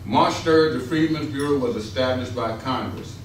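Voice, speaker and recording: A man's voice: mid-range voice, moderate pace. A man reading scripted historical narration aloud as a narrator in a readers theater. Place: stage rehearsal in a large echoing room. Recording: distant, echoey hall, clear noise.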